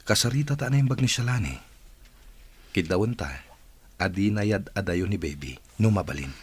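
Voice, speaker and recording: A man with an Ilocano Filipino accent playing a gentle, reassuring husband in a radio drama. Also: lowish voice, lively intonation, moderate pace, a little hiss or hum, close to an everyday voice. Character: gentle, supportive husband and adoptive father.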